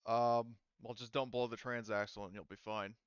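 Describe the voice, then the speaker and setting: A man's voice: mid-range voice, little pitch movement, moderate pace, clear, resonant tone, no echo, dull audio. A man talking casually as the game master of a tabletop role-playing game.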